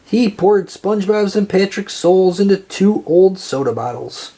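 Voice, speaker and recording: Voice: medium pitch, lively intonation, measured pace, warm tone, slightly rough. A storyteller reading a children's picture book aloud in narration style. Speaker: storybook reader.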